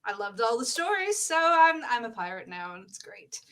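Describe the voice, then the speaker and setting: A woman's voice: a little high, very animated, normal speed, fairly bright tone. A woman playing a breezy, naive new sky pirate with a casual, hesitant delivery in a tabletop roleplaying game. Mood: breezy, unsure. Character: young, naive, breezy rookie sky pirate from shipping family.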